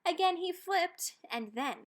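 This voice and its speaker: A woman's voice: very high-pitched, animated, takes it slowly. A woman reading a rhyming children's picture book aloud, expressively and with dramatic emphasis on key words. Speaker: storybook reader.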